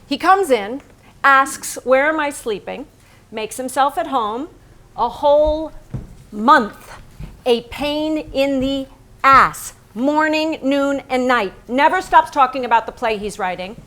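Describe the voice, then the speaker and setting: An actress playing a painter's embittered, sharp-tongued wife in a theatrical staged reading, with biting sarcasm. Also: female voice, high-pitched, animated, slow, exaggerated voice. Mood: bitter, wry. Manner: sardonic, biting. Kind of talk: theatrical staged reading, narration mixed with dialogue.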